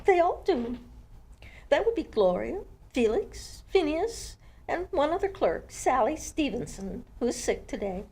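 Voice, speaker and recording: A woman's voice: sits high, sing-song, measured pace, warm tone, heavily acted. A woman acting a flustered, aloof gallery owner in an old-time radio mystery. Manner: fluttery, confused, haughty. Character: flustered, aloof wife who owns an art gallery. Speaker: amateur radio club performer.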